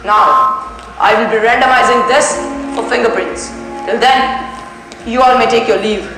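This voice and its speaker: School drama, young student as composed detective in charge, formal delivery, very roomy sound with clear background music. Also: mid-range voice, unhurried, natural voice. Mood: composed, commanding. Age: child or teenager. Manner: formal, authoritative. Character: commanding, composed detective questioning train passengers. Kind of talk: school stage play acting. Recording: echoey stage, loud music behind.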